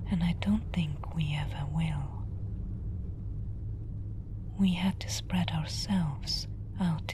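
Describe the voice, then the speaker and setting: A woman playing a weary, introverted space explorer in a hushed, musing ASMR roleplay whisper over an ambient soundscape. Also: low-pitched, noisy background. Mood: quiet, melancholy. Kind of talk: soft-spoken ASMR roleplay monologue.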